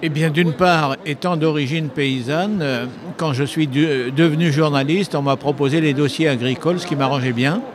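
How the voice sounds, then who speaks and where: An older man, a retired journalist, answering interview questions in a measured, explanatory way with frequent hesitations, at a book fair stand into a handheld mic. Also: a little high. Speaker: retired agricultural journalist, author. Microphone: handheld mic held by interviewer. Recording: noisy crowded hall. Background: crowd chatter.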